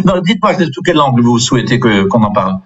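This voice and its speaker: A man's voice: medium pitch, sing-song, moderate pace, highs cut off, faint music behind. An older man, a priest and native Alsatian speaker, giving rambling, self-deprecating interview answers full of superlatives.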